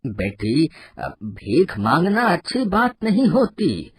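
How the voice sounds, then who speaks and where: A performer voicing a kindly, wealthy old man who advises in a hesitant, fatherly way for an animated moral story. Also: medium pitch, husky, dry recording, heavily acted. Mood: gentle, fatherly. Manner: hesitant, drawn-out, fatherly delivery.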